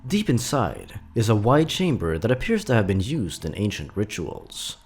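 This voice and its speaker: A man's voice: fairly low pitch, sing-song, normal speed, a little mellow, husky. A man narrating scripted horror stories with a dramatic, suspenseful delivery. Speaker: narrator, host of a horror story countdown.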